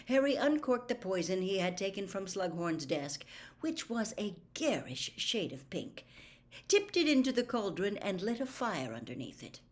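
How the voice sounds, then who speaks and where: A woman reading a novel aloud as expressive, scripted story narration. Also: lowish voice. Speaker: audiobook reader.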